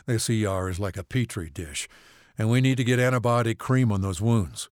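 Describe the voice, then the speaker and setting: A man voicing a gruff, combative family physician with a blunt, authoritative manner, in an audiobook. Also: fairly low pitch, moderate pace, darkish tone, dry recording, clean studio sound, lightly acted. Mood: commanding. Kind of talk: audiobook character acting.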